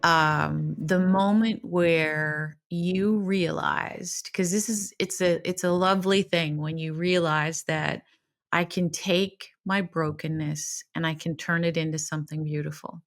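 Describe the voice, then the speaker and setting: Host: woman, lowish voice, affirming, conversational. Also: steady tone, unhurried. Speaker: podcast host. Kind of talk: conversational interview.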